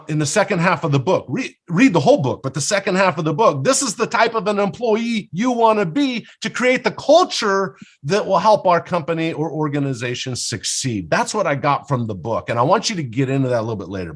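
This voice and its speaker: A man hosting an interview podcast, speaking enthusiastically and effusively with rambling asides. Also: on the high side. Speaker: podcast host.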